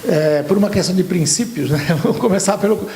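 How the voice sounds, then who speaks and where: A man's voice: high voice, fast, background noise. A man hosting a television interview show, speaking formally and expansively. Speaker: TV talk show host. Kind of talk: studio TV interview.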